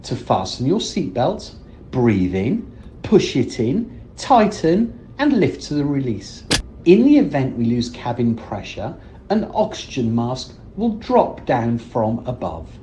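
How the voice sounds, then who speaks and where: A woman acts out a brisk cabin attendant in an amateur radio comedy. Her voice is low and mellow, with lively swings through tongue-in-cheek safety patter, on a slightly noisy conference call. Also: exaggerated voice.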